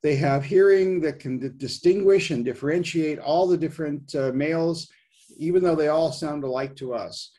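A man's voice: a little high, moderate pace, warm tone. A man in his sixties presenting an informal, explanatory nature lecture over a video call, leaning on the openings of his phrases. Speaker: presenter, science writer giving a nature talk. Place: indoors at home, on a video call.

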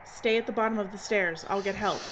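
A woman's voice: medium pitch, a little fast, natural voice. A woman playing a tense, bossy filmmaker in a horror adventure drama, talking in clipped, urgent commands. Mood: brisk, commanding. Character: tense, bossy female filmmaker trapped in a frozen station. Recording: dull audio with loud background noise.

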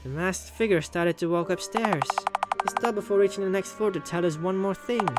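A man's voice: high voice, moderate pace. Theatrical male gamer reading over music. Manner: theatrical, jokey. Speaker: gaming video host. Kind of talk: visual novel read-aloud with joking commentary. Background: game music.

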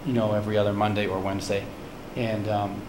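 Town administrator, man, low voice, monotone, brisk; table mic in an echoey hall. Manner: earnest, fast, with many restarts. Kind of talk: official report at town meeting. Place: town hall meeting room. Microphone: table microphone. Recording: echoey room with hiss.

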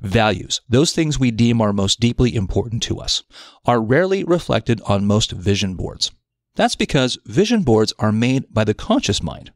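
A man reading a scripted self-development article aloud in a measured, articulate, explanatory manner. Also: mid-range voice, sing-song, no echo, studio quality. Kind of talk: scripted narration of an audio article.

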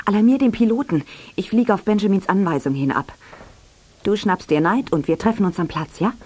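An intense, commanding villainess acted by a woman in a radio play. Her voice is medium in pitch and imperious, on a clearly noisy cassette recording. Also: lively intonation, a little fast, darkish tone, close to an everyday voice. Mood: brisk, commanding. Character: scheming, commanding female villain. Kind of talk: German radio play acting.

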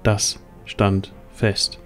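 A man's voice: very slow, fairly bright tone, faint music behind. A man narrating a scripted fantasy audio drama as the storyteller.

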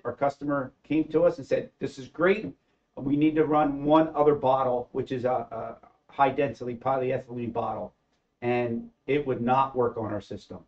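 A middle-aged man talks through how a machine was invented, stopping often on ums and uhs. His voice sits mid-range and sounds a little dull, as if recorded on a modest studio setup. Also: mid-range voice. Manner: hesitant, many fillers. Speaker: company engineer, co-inventor. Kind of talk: casual panel discussion. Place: studio set with backdrop.

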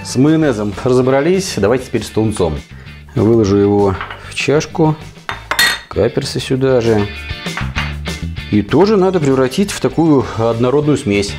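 A man in his forties, hoarse with a cold, giving casual, colloquial recipe instructions as a cooking show host. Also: mid-range voice, lively intonation, moderate pace, long pauses. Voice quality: hoarse, husky. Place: home kitchen. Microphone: lavalier clipped to T-shirt. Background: guitar music.

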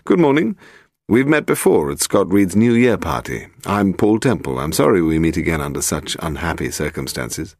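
A British man voicing a polite, inquisitive gentleman detective in an audiobook. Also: deep voice, sing-song, moderate pace, dry recording, studio quality, exaggerated voice. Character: courteous, probing gentleman detective-novelist.

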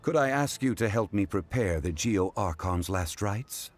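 A man playing a dignified, learned gentleman in a fantasy video game, speaking in a formal, measured and solemn way. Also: fairly low pitch, lively intonation. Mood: grave, solemn. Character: dignified, learned young-looking male funeral parlor consultant. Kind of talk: video game character dialogue. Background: music.

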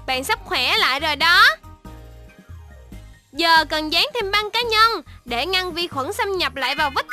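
A woman's voice: extremely high pitch, pushed and bright, heavily acted. A woman voicing a cheerful, caring little baby shark doctor in a children's cartoon, encouraging and sing-song. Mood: cheerful, reassuring.

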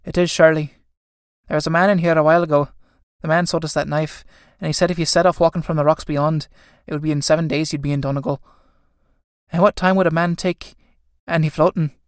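A woman's voice: deep voice, steady tone, fairly quick, no room sound, studio quality, lightly acted. A woman playing an anxious, scolding young Irish elder sister in a stage drama, speaking in an earnest, urgent way.

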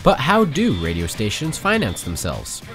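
Lively male documentary narrator. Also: medium pitch, moderate pace. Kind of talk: scripted narration. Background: guitar music.

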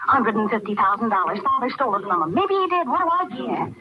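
A woman's voice: a little high, expressive, fast, close to an everyday voice. A woman acting in an old radio drama, playing a spoiled, defiant nineteen-year-old rich girl. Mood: tense inner monologue. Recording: noisy, muffled old radio recording.

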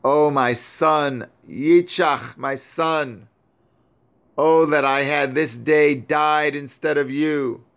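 A man reading as a sorrowful, devout old mother who laments at a slow, drawn-out pace. Her voice rides higher than the reader's usual tone. She pleads and mourns through a storybook scripture reading. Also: steady tone, a bit bright, clear, resonant tone. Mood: weeping.

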